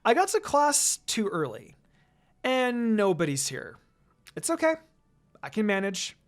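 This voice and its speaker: A fan-fiction reader telling a first-person story with a dramatic, expressive delivery. The pitch swings widely, jumping up for excited moments and dropping low for asides. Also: unhurried, soft background music.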